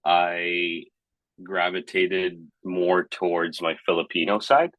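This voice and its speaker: A man's voice: sits low, takes it slowly, dry recording, phone-quality sound. A man in his thirties from Hong Kong, co-hosting a casual podcast chat in a rambling, hesitant, thoughtful way. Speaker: podcast co-host.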